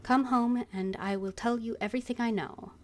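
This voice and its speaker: A woman's voice: a little high, steady tone, normal speed, lightly acted. A woman voicing an urgent, pleading messenger from a fantasy game letter, read out dramatically.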